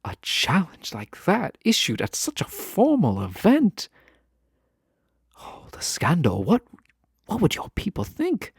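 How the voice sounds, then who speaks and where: A man playing a lovestruck, wistful fantasy nobleman in a romantic audio roleplay, speaking formally and tenderly, with halting phrases. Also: high-pitched, wildly swooping pitch, vocal fry, breathy edge, no room sound, studio quality, heavily acted. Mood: nostalgic, teasing. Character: lovestruck fantasy nobleman, wistful, teasing, formerly held captive.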